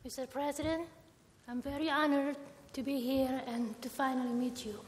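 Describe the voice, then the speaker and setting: A woman from Indonesia with an Indonesian accent, formally and deliberately telling her personal story as a roundtable guest. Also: high-pitched, steady tone. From Jakarta, Indonesia. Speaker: roundtable guest, bank employee. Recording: echoey hall, noticeable background noise.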